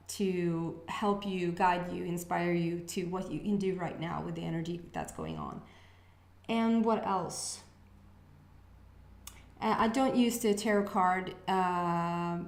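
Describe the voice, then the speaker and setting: A Swedish-accented woman, Swedish by birth, gives a relaxed intuitive reading for her channel. Her speech is hesitant and musing, with pauses as she tunes in. The room around her is echoey. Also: on the low side. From Sweden. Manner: hesitant, musing, pausing to sense messages. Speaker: astrology reader, channel host. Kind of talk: casual intuitive reading to camera.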